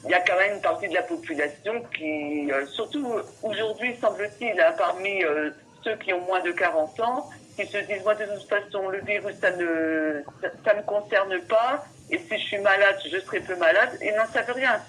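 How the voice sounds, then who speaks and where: Bright-voiced Frenchwoman advising by phone. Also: mid-range voice, moderate pace. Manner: hesitant, formal, conciliatory. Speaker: legal adviser at a medical council. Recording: telephone call with faint noise.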